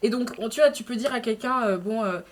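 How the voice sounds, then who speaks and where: A woman in her twenties, a student talking casually in a group discussion, who laughs often and trails off softly. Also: fairly high pitch, quick pace, background noise. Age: 20s. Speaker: student guest.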